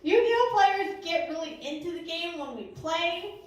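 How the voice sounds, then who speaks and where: A woman's voice: very high-pitched, animated, measured pace. A woman in her early thirties doing a conversational, self-deprecating stand-up set, acting out short bits of dialogue. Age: early 30s. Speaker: stand-up comedian. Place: live stand-up venue. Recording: roomy, echoing live recording from a distance.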